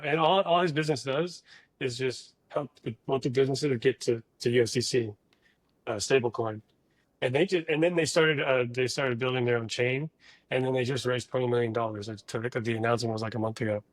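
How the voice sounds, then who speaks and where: Relaxed male co-host, inquisitive; dry, close recording. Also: mid-range voice, fairly quick, no echo, rough recording. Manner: relaxed, interested questioner. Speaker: podcast host. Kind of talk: casual podcast chat.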